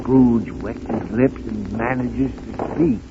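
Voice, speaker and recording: A man's voice: mid-range voice, steady tone, noisy background, natural voice. Man as dramatic old-time radio narrator, muffled sound. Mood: grave, suspenseful. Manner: dramatic, measured storytelling. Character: male storyteller, dramatic and earnest. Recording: muffled 1930s radio recording with music.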